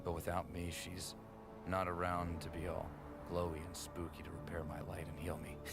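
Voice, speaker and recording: A man's voice: low-pitched, monotone, moderate pace, vocal fry. A man playing a bitter, sardonic, battle-worn warrior in an audio drama, with dramatic delivery. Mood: wry, weary. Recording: loud music over the voice.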